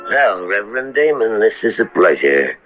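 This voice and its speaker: An actor playing an evasive, haughty philosophy professor in a 1970s radio drama, formal and precise. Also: male voice, on the low side, big pitch swings, moderate pace, loud music behind the voice. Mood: polite, evasive. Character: smooth, evasive philosophy professor, indignant when challenged. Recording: tinny, phone-like old radio recording.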